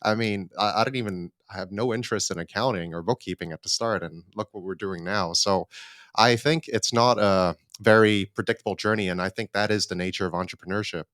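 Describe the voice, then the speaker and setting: A man in his thirties from Hong Kong talks as a guest in an easy, conversational way, sometimes restarting his sentences. His lowish voice is smooth and clean, recorded dry. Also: fairly quick, dry recording. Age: 30s. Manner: relaxed, conversational, with repeated starts. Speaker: startup cofounder guest. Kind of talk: casual interview talk.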